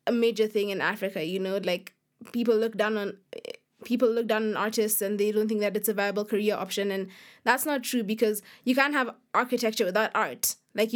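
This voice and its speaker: A young woman from Kenya being interviewed as a guest, talking casually and a little hesitantly, often saying "like". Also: medium pitch. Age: young adult. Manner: informal, hesitant, frequent "like". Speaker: podcast guest, young author.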